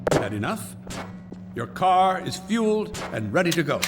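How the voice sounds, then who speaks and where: An older male rocket scientist in a video game, pitched a little high. He is brusque and pompous, forever pleased with himself and quick with sarcasm. The voice rings in an echoey space under loud music. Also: sing-song, exaggerated voice. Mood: smug, instructive. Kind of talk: video game character acting.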